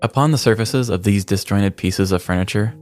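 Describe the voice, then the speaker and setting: A man with a low voice narrates a scripted comic tale as a podcast host. He delivers it with ornate, mock-serious drama. Music plays softly underneath. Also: fairly quick. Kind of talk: scripted comedic story narration. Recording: music bed behind the voice. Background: background music.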